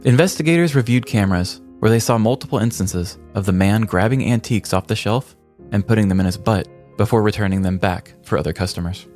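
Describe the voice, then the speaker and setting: A man with a low voice narrates a scripted comic tale as a podcast host. He delivers it with ornate, mock-serious drama. Music plays softly underneath. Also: brisk. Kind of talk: scripted comedic story narration. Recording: music bed behind the voice. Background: background music.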